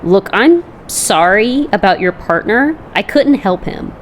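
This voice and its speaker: A woman's voice: medium pitch, expressive, unhurried, slight background noise, natural voice. A woman voicing a young female vigilante in a comic dub, wry and compassionate, reflecting in inner narration. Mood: earnest, compassionate.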